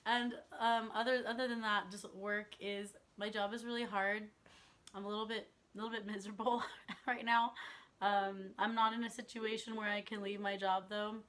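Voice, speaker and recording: A young woman vlogging about books in casual chat, her voice still slightly hoarse as it recovers, laughing often as she talks. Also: medium pitch, level tone, moderate pace, a bit bright. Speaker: book vlogger.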